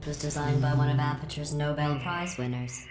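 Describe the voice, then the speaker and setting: A woman playing a coolly menacing game computer, deadpan and passive-aggressive, with synthetic robotic processing. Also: low-pitched, a little fast, roomy sound, background noise. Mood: smug taunting. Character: coolly menacing female artificial intelligence taunting a test subject.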